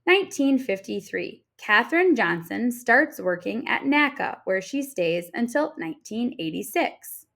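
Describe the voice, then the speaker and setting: Woman, higher voice, very lively intonation, children's read-aloud narration, deliberate phrasing. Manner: clear, expressive storytelling with deliberate phrasing for children. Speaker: teacher reading a children's book aloud. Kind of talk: read-aloud narration of an informational picture book.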